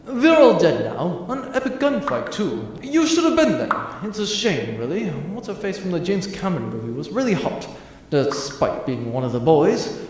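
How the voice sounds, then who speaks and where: A man's voice: high-pitched, sing-song, moderate pace, a little mellow, voice pushed down. A man voicing a breezy, oblivious, wisecracking spaceship captain in a comic audio drama. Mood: flippant. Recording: very echoey hall reverb, faint music.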